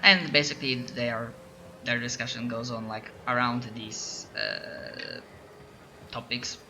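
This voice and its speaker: A man's voice: mid-range voice, sing-song, slow pace, vocal fry, noisy background. A man from Poland with a Polish accent, narrating as game master and often stopping to restart his sentences. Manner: hesitant, lots of restarts.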